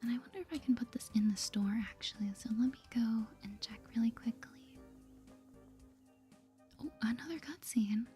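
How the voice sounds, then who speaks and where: A woman softly whispering an ASMR game playthrough in a gentle, playful way, reading dialogue aloud and thinking out loud. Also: fairly high pitch, normal speed, soft background music. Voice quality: breathy, mostly whispered. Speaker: gaming video host.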